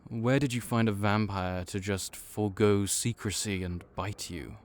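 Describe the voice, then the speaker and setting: A man's voice: fairly low pitch, fairly even intonation, measured pace, natural voice. A man voicing a laid-back vampire clerk and mentor in an audio roleplay, dry and wry as he speaks to the listener. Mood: easygoing.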